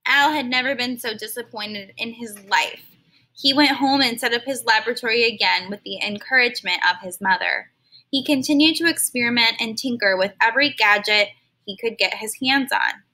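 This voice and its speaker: A woman reading a children's story aloud like a teacher, expressive and stopping now and then to explain words in simple terms. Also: a little high, moderate pace, low-quality recording.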